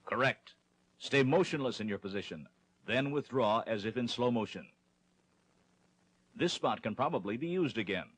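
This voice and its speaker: A man with a husky voice narrating a training film in a scripted, instructional style. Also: mid-range voice, expressive, moderate pace, pauses often, low-quality recording.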